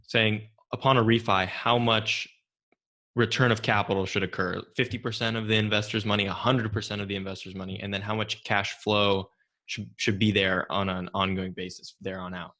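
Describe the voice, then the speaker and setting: A male interviewer with a fairly low voice and a crisp, bright edge. He speaks as a host, laying out his questions in a measured way. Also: flat delivery.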